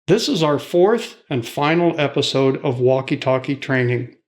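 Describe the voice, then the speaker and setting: A man's voice: mid-range voice, animated, moderate pace. A man presenting scripted instructional material as a training host.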